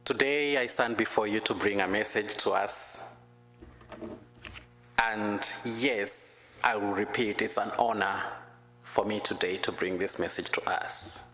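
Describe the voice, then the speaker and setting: Guest preacher, man, non-native English speaker, low voice, slow deliberate delivery, church echo. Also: pushed and bright, a little hiss or hum. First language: not English. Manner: slow, deliberate, careful phrasing. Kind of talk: prayer and sermon. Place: church sanctuary. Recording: echoey church hall.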